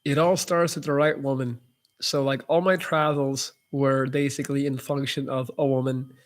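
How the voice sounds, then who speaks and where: A man's voice: medium pitch, flat delivery, normal speed. A young man with Dutch as his first language, hosting a casual live Q&A and reading viewer messages aloud with loose, restarting answers. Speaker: livestream host answering viewer questions.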